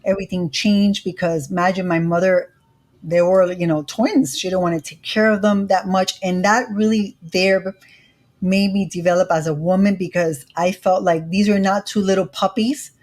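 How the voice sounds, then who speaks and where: A woman's voice: lowish voice, steady tone, normal speed, darkish tone. A woman in her forties with a Miami accent, speaking earnestly as an interview guest, with frequent fillers. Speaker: interview guest, credit educator. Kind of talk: casual interview conversation.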